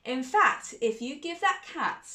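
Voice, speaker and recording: A woman's voice: sits high, animated, unhurried, bright, forceful tone, reverberant, voice pushed up, exaggerated voice. A woman voicing a cross, grumbling father in a storybook, stern and scolding. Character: cross, grumbling father of a family, Mister Thomas.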